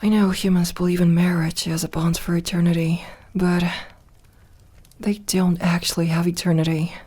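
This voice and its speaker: A woman's voice: on the low side, level tone, mellow, breathy edge. A woman playing a lonely, lovestruck vampire girl in an intimate ASMR audio roleplay, tender and hesitant. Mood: tender, devoted. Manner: hesitant, halting, intimate, sometimes dropping to a whisper.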